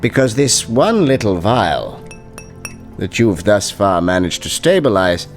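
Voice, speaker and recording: A powerful, coolly menacing doctor in an audio drama. His delivery is measured and condescending, with very wide melodic swings in pitch. A little music plays beneath him. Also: sing-song. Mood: calm, calculating. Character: smooth, menacing, powerful male doctor interrogating and pronouncing.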